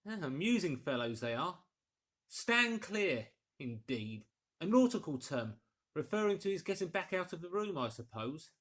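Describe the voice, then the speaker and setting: A man's voice: a little high, sing-song, moderate pace, fairly bright tone. A man voicing a mild, skeptical village vicar in an audiobook, musing in a genteel, fussy way.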